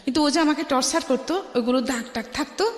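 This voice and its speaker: A woman with a Bangladeshi Bengali accent tells her life story as a radio interview guest, haltingly, restarting phrases and dropping in English words. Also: high voice. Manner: halting, with repeated false starts and English words mixed in. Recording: echoey with long reverb.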